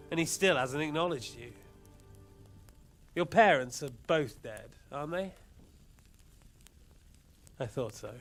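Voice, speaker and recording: Smug game warlord: lightish pitch, lively swings, mock-polite menace, music underneath. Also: male voice. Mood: smug, taunting. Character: smug, cruel male warlord taunting his captive. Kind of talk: dramatic video game cutscene acting.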